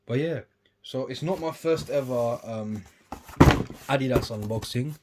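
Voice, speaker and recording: A young man, casually chatting and rambling through an unboxing on his vlog, often trailing off quietly between livelier bursts. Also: mid-range voice, unhurried, very noisy. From United Kingdom. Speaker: sneaker YouTuber.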